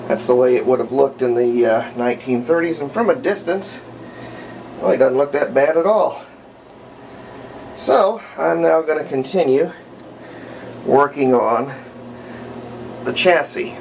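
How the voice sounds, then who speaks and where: A man, middle-aged, giving an unhurried, rambling, step-by-step commentary on hands-on restoration work, recorded indoors at home. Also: medium pitch, creaky, a little mellow, a little hiss or hum, low-quality recording. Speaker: hobbyist radio restorer presenting own repair videos. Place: indoors at home, partly in a bathroom.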